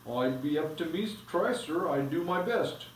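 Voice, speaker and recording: A man's voice: a little high, lively intonation, normal speed, a little hiss or hum, pitched above the performer's own voice, natural voice. A man reading aloud, voicing a modest, honest cab driver with a Cockney accent, plain-spoken and bashful. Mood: humble. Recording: echoey room, from a distance.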